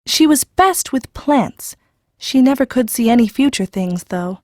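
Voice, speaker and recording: A woman's voice: fairly high pitch, expressive, a little slow, a little mellow, dry recording, clean studio sound. A woman playing a shy, plain-spoken country girl in her early teens in a fantasy audiobook, naive and hesitant, with rustic grammar. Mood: shy, earnest. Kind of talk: full-cast audiobook acting.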